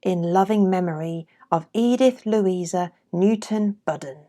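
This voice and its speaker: Female reader, unhurried and deliberate, lively rise and fall, a creaky edge, for scripted readings of vintage adverts. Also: medium pitch. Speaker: podcast host and reader.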